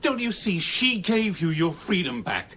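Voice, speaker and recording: An actor playing a blunt, agitated colleague in a radio play, with a clipped, emphatic delivery. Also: male voice, sits high, big pitch swings, unhurried, sharp tone, slight background noise, exaggerated voice. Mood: agitated, exasperated. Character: blunt, agitated male colleague breaking hard news.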